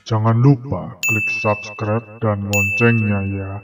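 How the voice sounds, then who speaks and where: A man, the channel host, asking viewers to subscribe in a slow, drawn-out, spooky way. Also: lowish voice, little pitch movement, loud music behind the voice.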